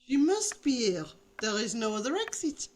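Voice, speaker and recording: An actress playing a gently firm, soothing governess with a French accent in a radio drama. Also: female voice, on the high side, sing-song, normal speed. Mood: shocked, puzzled.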